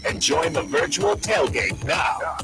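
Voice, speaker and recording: A man announcing a high-energy scripted sports radio promo. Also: expressive, measured pace. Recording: loud hip hop music, crowd noise behind. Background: hip hop music and cheering crowd.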